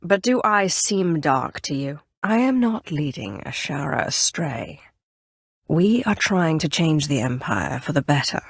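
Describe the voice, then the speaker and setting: Casting: cool-headed female Sith sorceress in a game, imperious yet idealistic; lively intonation, dry close studio sound. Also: sits low, gravelly, no echo, full character voice. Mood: calm, persuasive. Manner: poised, measured, imperious. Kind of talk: scripted video game dialogue acting.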